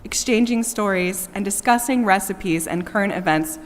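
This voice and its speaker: A woman's voice: medium pitch, normal speed. A woman reads a prepared eulogy aloud as a family speaker at a memorial, in a large echoey church. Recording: echoey church hall with long reverb.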